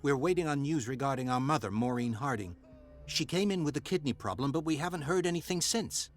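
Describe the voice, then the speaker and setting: A man's voice: mid-range voice, expressive, fairly quick, natural voice. An actor playing a polite, earnest man, the devoted son of a hospital patient, in a video game. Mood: worried. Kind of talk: video game cutscene acting.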